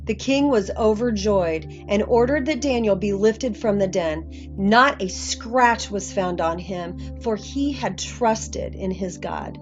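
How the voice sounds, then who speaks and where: A woman's voice: medium pitch, slow pace, hardly any pauses. Woman narrating scripture, slightly muffled. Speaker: Bible story narrator. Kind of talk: scripted read-aloud narration. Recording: muffled audio with faint music.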